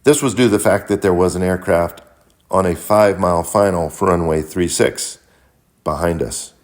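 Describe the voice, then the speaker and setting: A man who works as a pilot and mentor, narrating a scripted explanation in a measured, instructional manner. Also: low-pitched. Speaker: pilot and flight mentor, video host.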